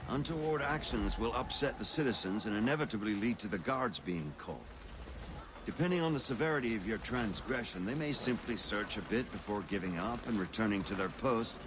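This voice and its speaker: A man's voice: fairly low pitch, animated, a little fast, noisy background, close to an everyday voice. An actor playing a worldly, wry male guide who explains things patiently in a video game. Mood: instructive, persuasive.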